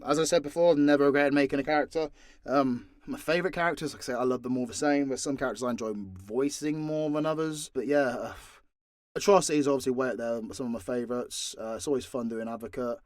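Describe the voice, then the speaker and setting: British male, medium pitch, informal and sweary, voice actor doing an unscripted fan Q&A, no room sound. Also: quick pace, dry recording, studio quality. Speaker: voice actor and channel creator answering fan questions. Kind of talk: casual unscripted Q&A chat.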